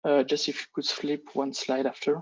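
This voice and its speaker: A man with a slightly high voice and very flat intonation presents like a fund analyst on a conference call. He is a non-native English speaker, and his delivery is halting, often restarting phrases. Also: slightly rough. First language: not English. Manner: halting, hesitant delivery with repeated false starts. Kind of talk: semi-scripted business presentation on a conference call.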